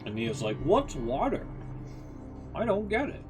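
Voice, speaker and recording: A man's voice: a little high, huge pitch swings, a little slow. A man giving casual, hesitant, off-the-cuff commentary as a host reacting to readings. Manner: hesitant, rambling. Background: guitar music.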